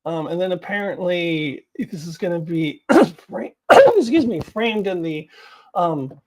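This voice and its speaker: A woman giving casual, opinionated commentary to viewers as a video host, in a blunt, informal and halting way. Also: fairly low pitch, expressive, darkish tone.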